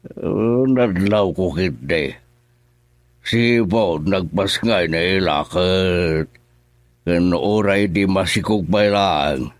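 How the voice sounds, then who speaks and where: A grave, elderly father in a radio drama. The voice is deep and unhurried, measured and serious, in a dry, close recording. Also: no room sound. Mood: grave, concerned.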